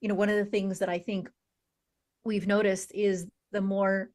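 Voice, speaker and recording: A woman's voice: medium pitch, level tone, moderate pace, a little mellow. A woman hosting a talk show, thinking aloud with frequent "um" as she reflects and steers the conversation. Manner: thinks aloud with many "um" fillers. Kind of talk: conversational interviewing.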